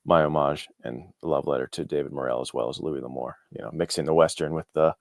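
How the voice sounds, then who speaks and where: A man's voice: a bass voice, steady tone, brisk, creaky, no room sound, clean studio sound. A man talking casually and informally as an interview guest, with an enthusiastic manner. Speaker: guest, indie author.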